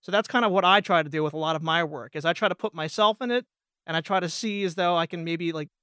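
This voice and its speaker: A man's voice: fairly high pitch, quick pace, sharp tone, clear, resonant tone, no echo, studio quality. An American man from Pennsylvania chatting casually as a guest voice actor, rambling and self-deprecating. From Pennsylvania, United States. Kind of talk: casual chat.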